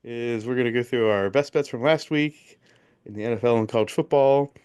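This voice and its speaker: A man co-hosting a casual sports betting podcast, joking and reading off records and picks in quick bursts. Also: medium pitch, darkish tone, smooth. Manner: jokey, recaps bets in quick bursts. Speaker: podcast co-host.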